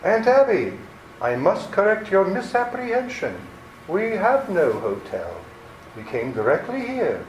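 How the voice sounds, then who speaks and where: A man's voice: high voice, huge pitch swings, measured pace, exaggerated voice. A man playing a menacing, scheming criminal nephew in a radio play, mock-courteous, theatrical and commanding. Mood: coldly insistent. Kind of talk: 1940s-style radio theater acting. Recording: echoey room with faint noise.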